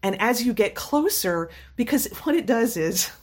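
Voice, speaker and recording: A woman with a slightly scratchy voice, telling personal stories in a casual, animated, laughing way. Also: on the high side, normal speed, slightly rough. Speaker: storyteller in a chat. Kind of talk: casual anecdotal chat.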